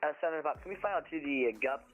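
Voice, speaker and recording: A man's voice: on the high side, rapid-fire, phone-quality sound. A man speaking as a pilot making casual, somewhat hesitant calls to air traffic control over a radio link. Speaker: virtual pilot.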